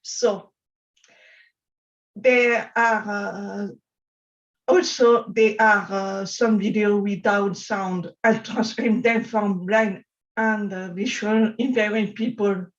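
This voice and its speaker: Deaf French woman, conference speaker, slow careful delivery, slightly dull audio. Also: mid-range voice, steady tone. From France. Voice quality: deaf speech. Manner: slow, careful speech with pauses. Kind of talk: prepared conference talk.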